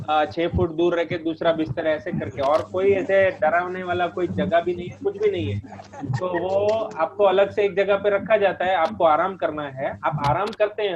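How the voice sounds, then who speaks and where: Man, high voice, reassuring explainer, instructive and repetitive, dull noisy conference-call sound. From India. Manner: reassuring, instructive, repeats points. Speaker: host of a group conference call. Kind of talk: informal explanatory advice on a group call. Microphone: conference call line. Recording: muffled conference call with heavy background noise.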